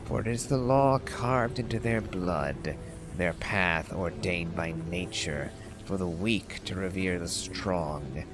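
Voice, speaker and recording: A man's voice: sits low, slow pace, over music. A man voicing a proud, stern ancient dragon from a game, in formal, declamatory phrases. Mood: cold, imperious. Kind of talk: dramatic reading of game lore.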